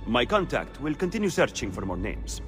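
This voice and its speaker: An actor playing a composed, scheming political adviser in a game drama. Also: male voice, mid-range voice, very animated, fairly quick, a bit bright, soft background music. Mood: businesslike. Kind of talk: dramatic video game acting.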